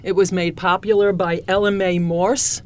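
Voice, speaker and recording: A woman's voice: medium pitch, level tone, normal speed. An older woman from Long Island, a cabaret singer, talking as a guest in a casual interview and telling stories with enthusiasm. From New York, Long Island. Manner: enthusiastic, storytelling.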